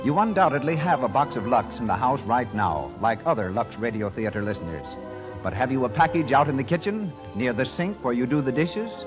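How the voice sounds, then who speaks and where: A man's voice: mid-range voice, lively intonation, a little fast, dull audio, over music. A man working as a radio announcer, delivering scripted announcements and advertising in 1930s style.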